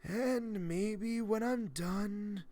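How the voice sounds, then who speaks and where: Horror story role: frail, beckoning old man, acted by a male narrator, high gravelly voice, slow and tired. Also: full character voice. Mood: weary, coaxing. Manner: slow, soft, weary delivery.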